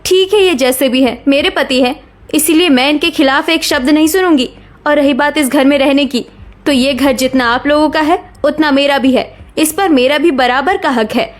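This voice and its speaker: A woman voicing a loyal, loving young wife, earnest and gentle but firm, in a cartoon story. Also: sits high, moderate pace, natural voice. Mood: firm, defensive. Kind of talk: Hindi cartoon story dialogue.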